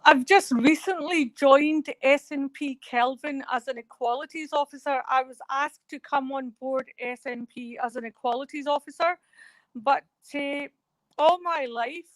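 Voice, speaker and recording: A woman's voice: high voice, unhurried, dry recording, rough recording. A woman talking as a guest in a remote radio interview, rambling and hesitant with many fillers. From Scotland.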